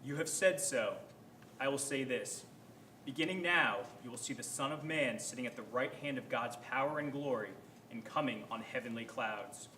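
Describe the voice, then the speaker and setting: A man playing a calm, defiant holy man under arrest, solemn and measured in a dramatic Bible reading. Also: medium pitch, close to an everyday voice. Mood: solemn, assured. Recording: roomy echo, faint background noise.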